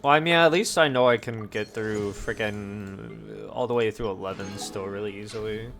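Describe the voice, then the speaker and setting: A man's voice: fairly low pitch, moderate pace, gravelly, over music. A man doing casual video game commentary, talking to himself and drifting between exclamations and muttering.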